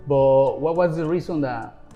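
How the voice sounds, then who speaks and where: A man hosting an interview, asking questions politely in halting, slightly slipping phrasing. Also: medium pitch, dull audio, faint music behind. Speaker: interview host.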